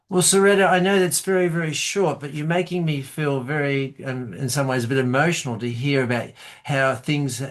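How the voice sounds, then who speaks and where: A man hosting a podcast interview, gently encouraging his guests with slightly rambling questions. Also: medium pitch. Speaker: podcast host.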